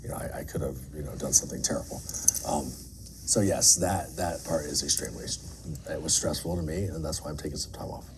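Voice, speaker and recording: Middle aged guy w a really deep voice, earnest n emphatic interview answers, bit of background noise. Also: brisk. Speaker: air traffic controller being interviewed.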